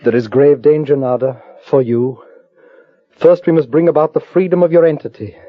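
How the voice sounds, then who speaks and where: An actor playing a powerful, lovestruck alien man in old radio drama style, speaking in a formal, measured, declamatory way. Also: fairly high pitch, fairly even intonation, no room sound, lightly acted. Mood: commanding, grave. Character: grave, ardent alien man with great powers, courting a human woman. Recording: muffled vintage radio recording, music behind.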